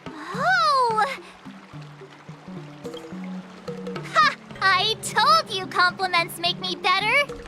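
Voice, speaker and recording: A woman's voice: very high voice, expressive, slow pace, over music, full character voice. A woman voicing a perky, eager teenage girl hero-in-training in an anime-style video game. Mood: cheerful. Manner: perky, self-encouraging, exclaiming. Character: bubbly, eager teenage girl swordplay student and aspiring hero.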